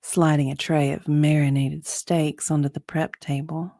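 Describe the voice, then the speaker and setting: A woman's voice: low voice, flat delivery, measured pace, mellow, dry recording, clean studio sound. A woman narrating a romance story as a dramatic reading, in a sultry, suspenseful manner.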